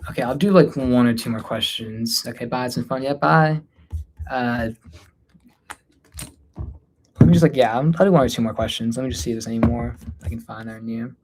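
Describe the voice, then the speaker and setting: A man's voice: medium pitch, fast talker, slight background noise. A man from North India chatting casually as a livestream host, tired and informal, hesitating and trailing off as he answers questions. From North India, Delhi area. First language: Hindi, mixed with English. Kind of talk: casual unscripted Q&A livestream chat.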